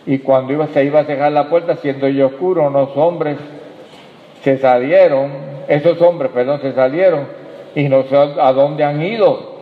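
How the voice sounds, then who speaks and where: Male pastor, slightly high voice, unhurried emphatic preaching, thin echoey church recording. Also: steady tone. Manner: preaching with emphatic rises and soft drops, addressing the congregation. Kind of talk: sermon. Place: church hall. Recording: tinny, echoey hall recording.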